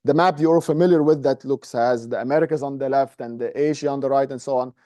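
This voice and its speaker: A man's voice: medium pitch, quick pace, mellow, dry recording. A man in his thirties with an Egyptian accent giving an informal, explanatory classroom math lecture, often checking in with short tag questions. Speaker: university lecturer. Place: medium-sized indoor classroom, standing at a presentation screen.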